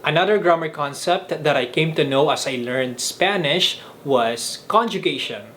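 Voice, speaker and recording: A young man from the Philippines whose first language is Filipino tells his language-learning story in an earnest, measured way. His voice sits a little higher than most men's. A faint hiss of room noise stays behind him throughout. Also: a bit bright. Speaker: language vlogger. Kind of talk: scripted vlog narration.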